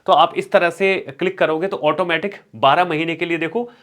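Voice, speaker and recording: Higher-voiced male exam coaching teacher. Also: fairly even intonation, moderate pace, a bit bright. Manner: instructional, repeats key words for emphasis, mixes English terms into Hindi. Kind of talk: exam strategy lesson with course promotion.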